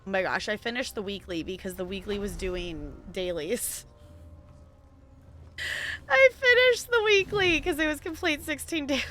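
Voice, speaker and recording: A woman's voice: on the high side, expressive, moderate pace, a bit bright, a little hiss or hum. A woman streaming a game, talking casually and rambling with lots of fillers and small laughs. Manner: rambling, chatty, frequent "like" and laughs. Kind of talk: casual gaming stream chat.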